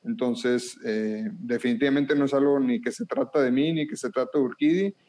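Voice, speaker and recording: A man's voice: mid-range voice, moderate pace, warm tone. Earnest northern Mexican man hosting a podcast. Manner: earnest, thankful, many filler words. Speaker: podcast host. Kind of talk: casual chat.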